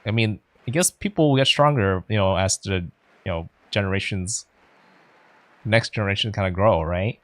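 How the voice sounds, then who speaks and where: A man's voice: on the low side, moderate pace, fairly bright tone, no echo. A man doing casual let's play commentary, thinking aloud in a hesitant, musing way. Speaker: game streamer.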